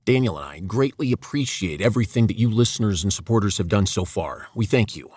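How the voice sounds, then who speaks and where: A man's voice: lowish voice, expressive, brisk, darkish tone, slightly rough, no room sound. A man hosting a podcast, reading a scripted announcement in a polished, promotional manner. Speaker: podcast performer and producer, host.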